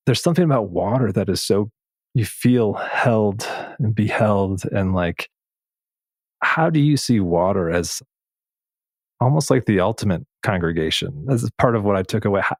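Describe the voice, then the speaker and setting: A man's voice: fairly low pitch, expressive, unhurried, no echo. A man hosting a podcast interview in a conversational, earnest and appreciative way. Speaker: podcast host.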